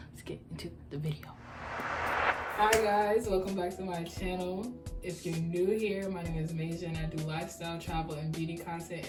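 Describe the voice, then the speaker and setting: A young woman with a lowish, allergy-raspy voice chats easily and teasingly about her nightly routine. She sounds a little off the mic in an echoey room, with music playing under her. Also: distant mic. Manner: chatty, self-mocking, relaxed. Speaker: beauty vlogger. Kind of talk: casual skincare vlog chat. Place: home, at night.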